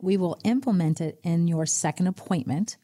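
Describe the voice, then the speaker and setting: A middle-aged woman co-hosting a casual financial talk show, animated and quick with exclamations. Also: fairly low pitch. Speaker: podcast co-host, financial advisor. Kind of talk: casual radio chat.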